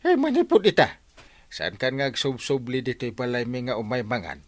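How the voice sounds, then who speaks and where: Sputtering, wildly swooping village captain. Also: male voice, mid-range voice, wildly swooping pitch, moderate pace, no echo. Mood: scolding. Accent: Filipino, Ilocano. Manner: stammering, sputtering interjections. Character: excitable, exasperated village captain, comic middle-aged man. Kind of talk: comedy radio drama acting.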